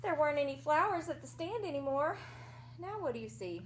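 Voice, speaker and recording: Animated, higher-pitched woman reading to children. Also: brisk, a little hiss or hum. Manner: simple, explanatory phrasing for small children. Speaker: teacher reading aloud to young children. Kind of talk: animated picture-book read-aloud with asides and questions to children.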